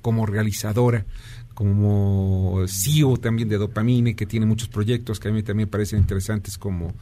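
A man's voice: on the low side, steady tone, moderate pace, warm tone. A man hosting a radio talk show, effusive and prone to long, rambling questions. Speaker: radio host.

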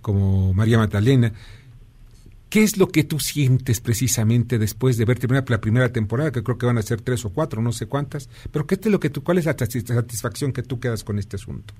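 A man's voice: medium pitch, a little fast, a little mellow, slightly rough. A man hosting a radio talk show, effusive and prone to long, rambling questions. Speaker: radio host.

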